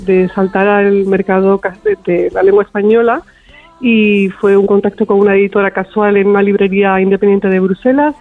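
A woman answering as an interview guest, hesitant and often restarting, over a call. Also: mid-range voice. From Spain. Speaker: interview guest, author and illustrator. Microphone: remote call into a radio studio. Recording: tinny narrow phone line.

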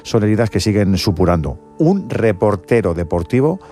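A man's voice: fairly low pitch, very animated, normal speed, warm tone, soft background music. A man with a Castilian Spanish accent hosting a live sports radio show, speaking emphatically and repeating words as he searches for phrases. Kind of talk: live radio interview and commentary.

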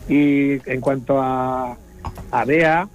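A man's voice: medium pitch, steady tone, very slow, highs cut off. A man giving wine commentary in an enthusiastic, rambling way, with many restarts. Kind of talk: casual radio chat.

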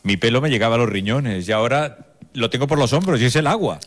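A man's voice: mid-range voice, fast talker. A man co-hosting a local radio interview, dropping jokes. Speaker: radio co-host.

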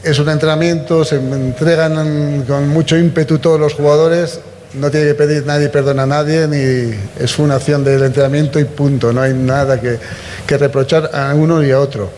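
A man's voice: on the high side, fairly even intonation, moderate pace, darkish tone, in a large hall, slight background noise. A man from Spain, a football coach speaking at a press conference in a firm, dismissive way.

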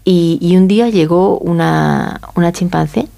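A woman's voice: fairly low pitch, measured pace, creaky, dark tone. A woman with a Spanish accent from Spain, explaining informally as an expert interview guest, often checking in with little tag questions. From Galicia, Spain. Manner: conversational, often seeks agreement with tag questions.

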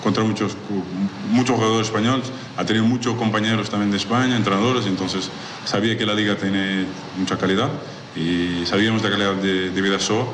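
A young man from Lisbon with a Portuguese accent, a native Portuguese speaker giving unscripted player interview answers in a reverberant, noisy space. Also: low-pitched. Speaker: athlete, team player. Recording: echoey reverberant hall, clear background noise.

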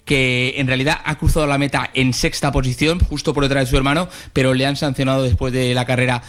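A man's voice: medium pitch, flat delivery, fast, fairly bright tone. A man giving a conversational live race report as a motorsport reporter.

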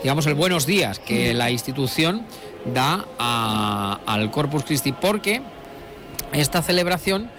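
A man's voice: mid-range voice, expressive, a little slow, music in the background. A middle-aged man from La Mancha, giving discursive live commentary on a procession with many fillers and restarts. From La Mancha, Spain. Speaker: broadcast commentator. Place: outdoors at a street procession. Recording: live street broadcast with crowd noise. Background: crowd.

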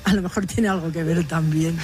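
A woman's voice: lowish voice, fairly even intonation, a little fast, darkish tone. A woman in her fifties with a Madrid accent, telling her story with humor in an outdoor radio interview. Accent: Madrid Spanish. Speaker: interviewee, biology teacher. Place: village square outdoors.

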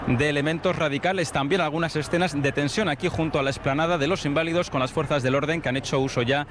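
Corresponsal de radio, voz algo aguda, ruido de calle claro de fondo. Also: male voice, on the high side, fairly even intonation, fast, a little hiss or hum. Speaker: foreign correspondent. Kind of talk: field news report.

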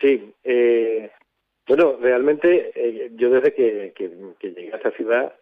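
A man, speaking reflectively as an interview guest, halting as he searches for words. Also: mid-range voice, narrow, tinny audio. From southern Spain. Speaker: poet and songwriter guest.

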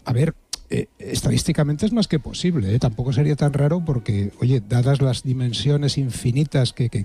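A man's voice: medium pitch, warm tone, slightly rough. A middle-aged or older man with an accent from Spain, giving a humorous, conversational monologue as a radio contributor, wry and rambling, often repeating words.

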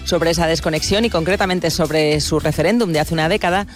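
A man's voice: fairly high pitch, brisk, faint music behind. Formal male radio news anchor. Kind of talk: scripted news reading.

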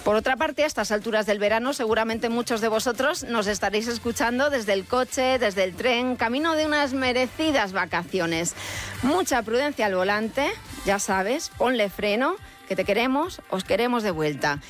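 A woman's voice: a little high, animated, normal speed, soft background music. A woman hosting a radio travel show, introducing guests in an upbeat, friendly way. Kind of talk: radio presenting.

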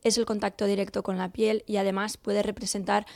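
A woman's voice: medium pitch, level tone, a little fast, gravelly, darkish tone, dry recording, studio quality. A woman from Portugal, a company founder, answering interview questions hesitantly with many fillers. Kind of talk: radio interview.

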